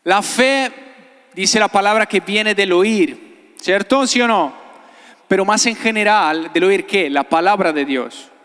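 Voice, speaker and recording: His voice sits high for a man and rings through a long hall reverb. He is a pastor, delivering a sermon in a conversational way. He repeats key phrases and keeps putting short questions to his listeners. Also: unhurried, fairly bright tone, smooth. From Italy. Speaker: pastor, guest preacher. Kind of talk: sermon to a live congregation. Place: large church hall before a congregation. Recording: reverberant hall, amplified preaching.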